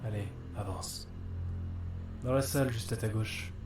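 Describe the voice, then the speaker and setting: An actor playing an angry, haunted young man in an audio drama, intense and often breathy. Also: lowish voice, unhurried. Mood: cold. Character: obsessive angry young man haunted by voices in his head. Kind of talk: French audio drama acting. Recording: loud music under the voice.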